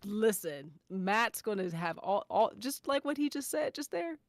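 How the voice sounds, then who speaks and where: Casting: female co-host, animated pitch, emphatic teasing delivery, very dry sound. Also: measured pace, no room sound. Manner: emphatic, teasing, repeats phrases. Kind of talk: casual, teasing group chat.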